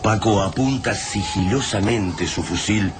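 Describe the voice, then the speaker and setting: Deep Argentine male radio drama narrator. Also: a little slow, background noise. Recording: loud dramatic music behind.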